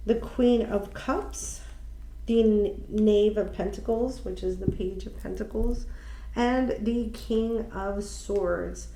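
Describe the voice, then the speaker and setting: A woman giving a casual tarot card reading, speaking slowly, a little nasal and stuffy from allergies, musing aloud with ums and restarts. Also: medium pitch, dark tone, roomy sound, poor audio. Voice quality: slightly nasal. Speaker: tarot reader.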